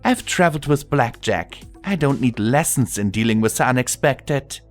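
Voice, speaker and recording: A man voicing a young female pony, a daughter who is cheeky and sulky, expressive in a storybook reading. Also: medium pitch, moderate pace, dry recording, soft background music, pitched above the performer's own voice, lightly acted. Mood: flat annoyance. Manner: pleading, sly, expressive.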